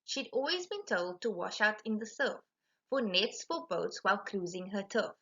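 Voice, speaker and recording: A woman reading a rhyming children's storybook aloud in an animated, emphatic way. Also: mid-range voice, normal speed, muffled recording. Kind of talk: expressive rhyming storybook narration.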